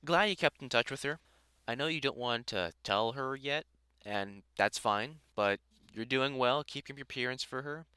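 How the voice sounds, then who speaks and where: A man playing a gruff older vampire hunter in a roleplay audio drama, a fond, teasing mentor with dry sarcasm who grows halting when sentimental. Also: mid-range voice, pushed and bright, no echo, lightly acted. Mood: warm teasing.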